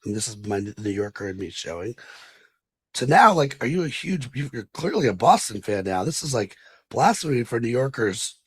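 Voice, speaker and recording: A man hosting a podcast, asking questions in a loose, halting way with many fillers. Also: mid-range voice, very animated, a bit bright, breathy edge, dry recording. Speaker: podcast host. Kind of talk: interview questions.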